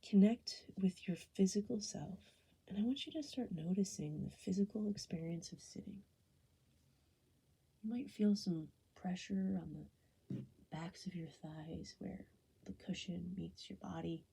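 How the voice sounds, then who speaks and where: A very husky, breathy woman leads a guided body scan. Her soft voice is very dark and mellow, with a gravelly creak at the edges. She gives gentle, unhurried instructions that often sink toward a whisper. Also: lowish voice, low-quality recording. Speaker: meditation guide.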